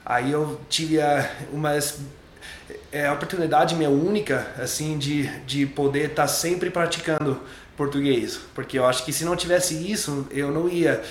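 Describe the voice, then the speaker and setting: A man's voice: a little high, fairly even intonation, normal speed, echoey room, a little hiss or hum. A young adult man, a native English speaker, chatting casually as an interview guest, loose and repetitive, restarting his words. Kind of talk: relaxed interview chat.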